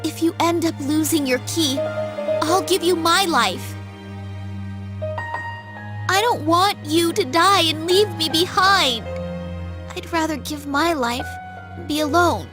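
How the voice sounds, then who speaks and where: An actress playing a desperate, devoted younger sister in an English anime-style video game, with an emotional, pleading manner. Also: female voice, very high voice, slow pace, long pauses, over music. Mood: tearful, desperate.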